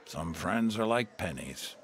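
An actor playing a kind, gravelly-voiced man who offers shelter and help in a radio drama. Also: sits low, lively intonation, a little slow, a bit bright. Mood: kindly.

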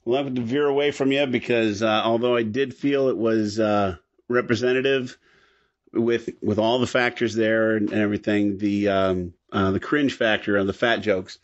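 A man co-hosting a podcast, chatting casually and a little haltingly about old-time radio. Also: lowish voice, steady tone. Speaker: podcast co-host. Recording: slightly dull, lo-fi audio.

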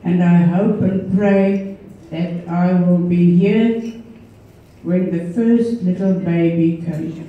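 An older woman from South Africa with a South African accent, English not her first language, giving a halting wedding speech as the grandmother. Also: on the low side, steady tone, muffled recording. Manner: halting, searching for words. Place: wedding reception hall. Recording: echoey hall from far off.

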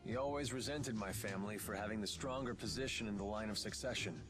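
Man acting low-voiced wry rogue. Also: quick pace, music in the background, close to an everyday voice. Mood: matter-of-fact. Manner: sardonic, laid-back. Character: wry, world-weary rogue from a fallen noble family.

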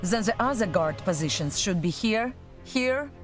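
A woman's voice: mid-range voice, expressive, measured pace, soft background music, lightly acted. A woman playing a loyal, resolute military officer in a video game, speaking in an urgent, commanding, earnest way. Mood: focused. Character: loyal, resolute female military officer and former security chief. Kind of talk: video game cutscene acting.

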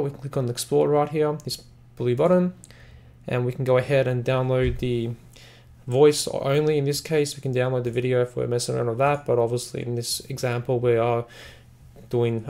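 A man hosting a casual software tutorial, explaining in a rambling, repetitive way. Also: medium pitch, gravelly. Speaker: software reviewer, tutorial host. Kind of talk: casual screen-recording tutorial narration.

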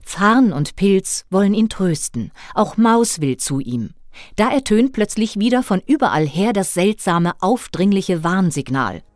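A woman narrating a scripted children's audio play in a dramatic, expressive storybook manner. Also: mid-range voice, measured pace, no room sound, clean studio sound.

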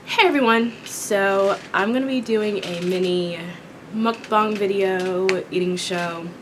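A young woman from Kentucky chatting casually while eating, her voice raspy from a sore throat, rambling with many ums. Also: medium pitch, measured pace, a little hiss or hum. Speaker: vlogger. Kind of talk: casual mukbang chat. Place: small indoor room with dark curtain backdrop.

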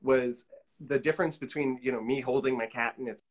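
Male, middle-aged, mid-pitched. Casual interview guest on a video call, hesitant and exploratory delivery. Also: steady tone, brisk. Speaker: guest, collage artist. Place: indoor room, on a video call.

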